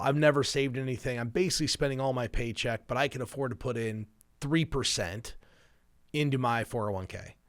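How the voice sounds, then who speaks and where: A man's voice: mid-range voice, no echo. A middle-aged man hosting a personal finance show, explaining things conversationally and acting out everyday examples as he goes. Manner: explanatory, illustrates with hypothetical first-person quotes. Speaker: financial planner and show host.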